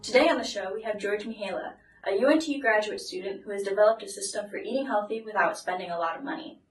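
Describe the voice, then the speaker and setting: A woman's voice: mid-range voice, quick pace, distant mic, soft background music, poor audio. A woman hosting a college money podcast, reading a brief introduction and then asking friendly, conversational questions. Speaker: podcast host. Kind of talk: podcast interview.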